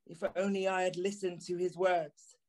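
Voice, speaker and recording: A man's voice: high voice, level tone, moderate pace, a little mellow, lightly acted. A man playing a haunted, remorseful journalist, narrating an audio play with brooding, theatrical phrasing. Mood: anguished regret. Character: haunted, remorseful journalist recalling an eerie encounter. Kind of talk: dramatic first-person audio play narration.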